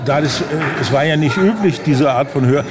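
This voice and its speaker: A man being interviewed in casual conversation, speaking haltingly as he searches for his words. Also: fairly high pitch, animated, noisy background. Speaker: interview guest, audio drama director. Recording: busy crowd around the voice. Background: chatter of a crowd.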